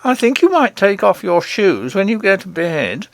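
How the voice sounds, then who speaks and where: Storybook goblin king, acted by a male reader: high, pompous, henpecked, coaxing. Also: very animated, normal speed, vocal fry, dry recording, full character voice. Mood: reasoning, aggrieved. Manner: dignified, coaxing.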